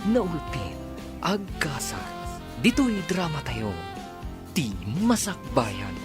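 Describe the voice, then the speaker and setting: Measured Ilocano radio announcer. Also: male voice, a little high, wildly swooping pitch, over music.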